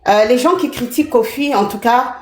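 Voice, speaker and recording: A woman's voice: on the high side, fairly quick, a little mellow, echoey room. A woman in her thirties from Canada, calling in to a live show and speaking earnestly. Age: 30s. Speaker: caller. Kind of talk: live call-in chat.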